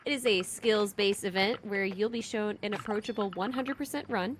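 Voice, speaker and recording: A woman's voice: medium pitch, normal speed, slight background noise. A woman hosting a stream, delivering a prepared event announcement. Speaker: event host making an announcement.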